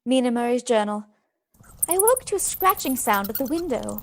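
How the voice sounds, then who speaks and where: A woman's voice: on the high side, sing-song, brisk, slight background noise. A woman in a radio play acting an earnest, anxious, caring young Victorian lady. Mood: frightened. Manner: earnest, solicitous.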